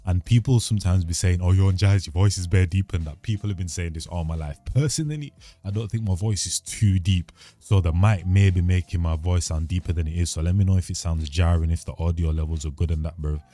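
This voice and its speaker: Deep-voiced, fast-talking man. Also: mellow. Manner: slangy and laid-back, loose and rambling. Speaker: channel host. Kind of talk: casual vlog chat to camera. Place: home recording setup. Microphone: studio mic at a desk.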